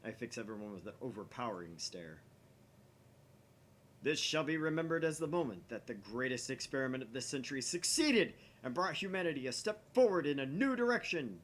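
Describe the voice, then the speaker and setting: A man voicing a grandiose young mad scientist in a visual novel, declaiming theatrically with pompous, dramatic pauses. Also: mid-range voice, sing-song, fairly bright tone. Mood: indignant, commanding.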